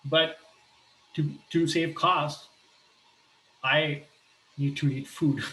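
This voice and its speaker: Man, from pakistan, earnest and halting, fillers and restarts, somewhat high voice, roomy echo. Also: steady tone, pushed and bright, a little hiss or hum. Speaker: entrepreneur sharing a personal appeal. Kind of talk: personal talk with shared images.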